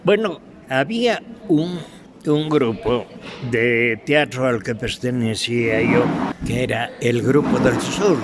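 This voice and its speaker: An older man with an Ecuadorian Spanish accent, reminiscing in an interview in a halting, deliberate way with pauses and repetitions. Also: medium pitch, gravelly. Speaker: interviewee, veteran radio drama actor.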